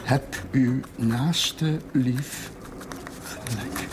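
An actor playing an earnest, troubled village chaplain giving a solemn, rhetorical sermon in a television drama. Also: male voice, medium pitch, noisy background. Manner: solemn, measured rhetorical delivery. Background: loud music.

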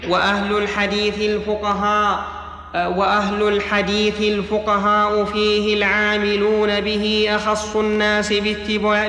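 High-pitched man reciting formally in echoing hall. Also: bright, forceful tone. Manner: formal recitation, repeating phrases when corrected. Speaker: student reader. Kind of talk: reading a classical religious text aloud in a lesson. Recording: echoey hall with long reverb.

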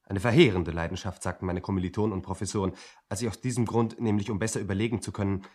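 An actor playing a polite, ponderous man in his twenties looking for work, wry and deliberate, in a radio drama. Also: low-pitched, steady tone, darkish tone, slightly rough, no room sound, clean studio sound. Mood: earnest, modest. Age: 20s. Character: hesitant, polite, ponderous young male job seeker, former student. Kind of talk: German radio drama dialogue.

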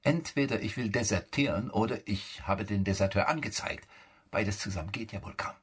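An actor playing an insolent, cunning young soldier in a German radio play, mock-polite and sardonic. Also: male voice, on the low side, normal speed, husky. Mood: coolly provoking. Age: young adult. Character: insolent, cunning young soldier, coolly provoking his superior.

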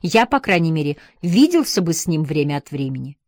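A woman narrator voicing a young man who quietly presses an older woman about his absent father. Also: low voice, sing-song, fairly quick, dry recording, studio quality, voice pushed down. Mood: probing. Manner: subdued, questioning, hurt.

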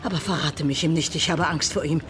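A woman's voice: low voice, fairly quick, a bit bright, soft background music. Bitter, frightened down-and-out woman. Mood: bitter, fearful. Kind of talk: radio drama acting.

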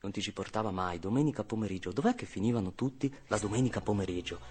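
A man's voice: fairly low pitch, fast talker, a little mellow, slightly rough. An actor playing an Italian man who relives his 1980s childhood in a fragmented, vivid radio monologue. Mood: brooding, absorbed. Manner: fragmented, repetitive, vivid present-tense storytelling. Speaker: actor and narrator. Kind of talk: dramatic first-person radio monologue.

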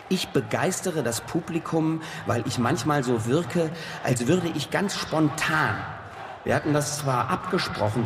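Self-important satirical orator, slightly high voice, emphatic, mocking, radio play, noisy ambience. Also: male voice. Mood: self-satisfied.

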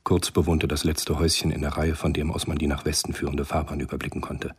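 Very deep-voiced male radio play narrator. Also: flat delivery, breathy edge. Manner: measured, sombre.

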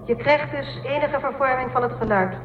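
An actress playing a composed female operator who briefs a commander in a formal, measured way, in a radio play. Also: sits high, close to an everyday voice. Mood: calm, instructive. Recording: muffled narrowband audio, echoey, clear noise.

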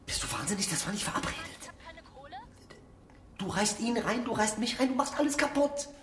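A domineering, agitated woman played by an actress in a radio drama, heard off-mic in a roomy space. She scolds urgently and sharply, her pitch swinging widely. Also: expressive, a little fast, lightly acted. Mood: furious. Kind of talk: dramatic radio play acting. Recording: roomy, distant from mic, faint noise.

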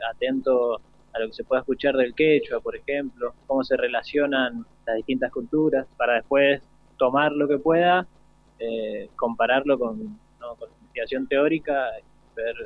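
A man's voice: medium pitch, steady tone, moderate pace. A young Argentine man, a student, giving unscripted answers in an interview. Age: young adult. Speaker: university literature student as guest. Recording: thin phone-like radio sound.

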